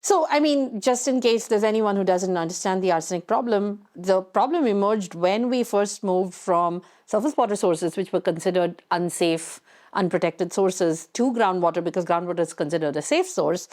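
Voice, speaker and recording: A woman's voice: mid-range voice, moderate pace, a little mellow. A woman hosting a conversational technical interview, inquisitive and thinking aloud as she frames her questions. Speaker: interviewer, host.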